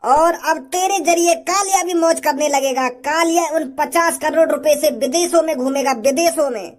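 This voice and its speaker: A high-pitched cartoon bandit boss acted by a woman, greedy and full of himself. He taunts and gloats in a theatrical, stretched-out way, menacing yet comic. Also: lightly acted. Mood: gloating. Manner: taunting, drawn-out, sing-song gloating. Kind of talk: comic cartoon villain dialogue.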